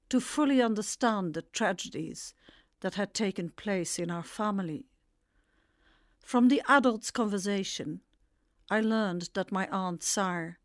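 An older woman from the Netherlands, whose first language is Dutch, narrates a scripted memoir. She speaks slowly and reflectively, with measured phrasing and a mid-range pitch. The recording is dry and close, with very little room sound. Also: no echo.

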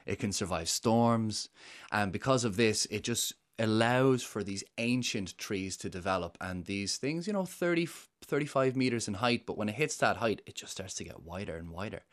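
A man's voice: lowish voice, expressive, normal speed. A man, explaining ancient trees and old laws with enthusiasm in an interview. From Ireland. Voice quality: enthusiastic, explanatory, some hesitations. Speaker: guest, nature and history expert.

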